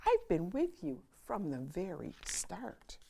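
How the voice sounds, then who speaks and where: A woman hosting a children's storytime, reading a picture book aloud in an expressive, sing-song manner with dramatic pauses. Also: fairly low pitch, vocal fry, a little hiss or hum.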